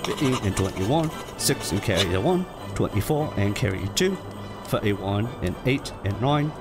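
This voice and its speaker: An actor playing a meek, apologetic office clerk, deferential and nervous, in a theatrical audio drama. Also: male voice, fairly low pitch, expressive, moderate pace, over music. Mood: busy muttering.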